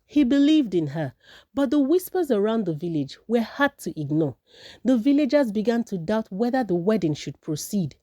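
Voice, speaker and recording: A woman's voice: medium pitch, very animated, moderate pace, mellow, dry recording, clean studio sound. A woman narrating a folktale from a script as a storyteller.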